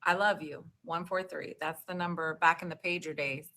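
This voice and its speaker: A woman in her forties, a podcast guest, talking in an animated, chatty way with lots of "like.". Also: lowish voice, normal speed. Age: 40s. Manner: animated, talkative, frequent "like". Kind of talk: casual interview chat.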